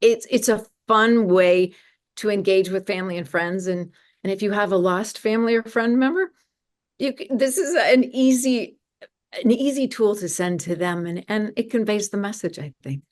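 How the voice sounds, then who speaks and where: A woman's voice: medium pitch, moderate pace. A woman speaking earnestly and enthusiastically as an interview guest promoting her organization's work. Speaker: guest, media ministry representative.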